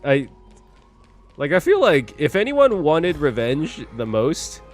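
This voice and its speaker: A man's voice: a little high, huge pitch swings, slow, faint music behind. A man giving casual, chatty reaction commentary on a game, thinking aloud as he goes. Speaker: streamer reacting to a game story.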